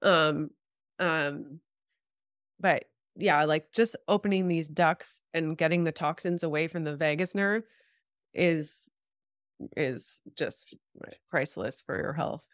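Low-voiced woman, slightly stuffed up. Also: slow, pauses often, no room sound, clean studio sound. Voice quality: slightly congested, stuffed-up nose. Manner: informal, frequent "like" and "you know". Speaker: guest health practitioner, chiropractor and acupuncturist. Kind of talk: casual interview explanation. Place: small room at home, video call. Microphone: video call.

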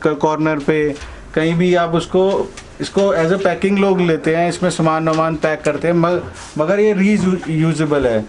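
A man's voice: a little high, fairly even intonation, a little slow. A man running a gift shop, politely showing baskets and boxes and quoting prices. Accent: Hindi. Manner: polite, keeps saying "haan ji", plain salesman talk. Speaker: shopkeeper. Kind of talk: showing products to a customer. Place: crowded gift shop indoors. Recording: busy shop with crowd noise.

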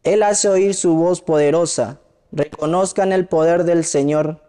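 Slow, clear young man reciting in reverberant chapel. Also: on the high side, fairly even intonation, warm tone. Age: young adult. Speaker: psalm reader. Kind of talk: solemn psalm recitation at Mass. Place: indoor chapel.

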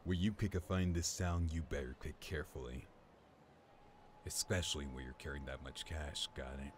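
A man's voice: a bass voice, steady tone, moderate pace, background noise. A man playing a tough young yakuza enforcer, terse, reserved and stoic, in a video game fan dub. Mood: cold, menacing. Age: young adult.

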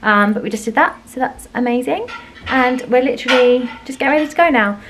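A woman's voice: fairly high pitch, fairly quick. Chatty young British woman, noisy vlog recording. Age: young adult. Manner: chatty, informal. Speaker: vlogger. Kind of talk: casual vlog chat. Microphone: handheld camera at arm's length. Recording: noisy handheld vlog, room and car sounds.

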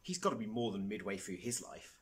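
A man's voice: lowish voice, animated, brisk, breathy edge. A man voicing an eager, cheeky and incredulous schoolboy in a children's comedy audiobook.

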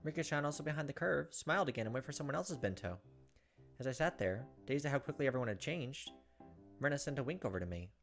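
Male gameplay host, thirties, medium-pitched, expressive visual novel narration with faint music underneath. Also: fast talker, vocal fry.

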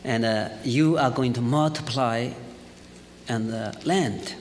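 A middle-aged man with a Chinese accent preaches in a large church hall, his voice ringing with a long reverb over faint hiss. He sits at an ordinary pitch, tells his story in a lively way and fills his pauses with "uh". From China. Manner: lively storytelling, laughs often, frequent fillers. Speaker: pastor. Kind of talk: sermon with personal testimony.

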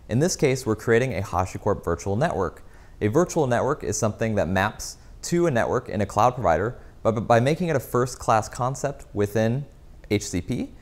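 A man's voice: on the low side, a little mellow. A man in his thirties presenting a product keynote in an explanatory, emphatic way, recorded on a lapel mic. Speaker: company executive, keynote presenter. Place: indoor office lounge.

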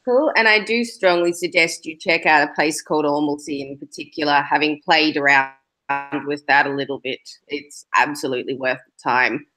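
A young woman hosts a live online panel with a low voice and a bright, forceful tone. She moves things along briskly and in a friendly way, speaking into a laptop webcam from a room at home. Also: poor audio. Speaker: panel moderator. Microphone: laptop webcam on a video call.

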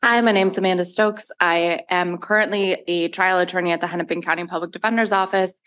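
A woman public defender, steady and matter-of-fact, introducing herself on a panel. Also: on the low side, brisk. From Colombia, raised in Minnesota. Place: large law school hearing room.

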